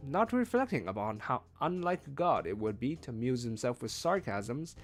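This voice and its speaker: A man reading a novel aloud as a streamed read-along, with expressive, emphatic phrasing. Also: mid-range voice, normal speed, faint music behind. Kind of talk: read-along audiobook narration.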